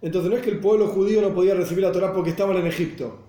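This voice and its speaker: A man's voice: high voice, fairly even intonation, very fast, dark tone. Buenos Aires man teaching in a roomy space. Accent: Buenos Aires Spanish. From Argentina. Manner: explanatory, asks rhetorical questions, checks listeners follow. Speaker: Torah teacher. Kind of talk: religious class lecture. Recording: roomy echo.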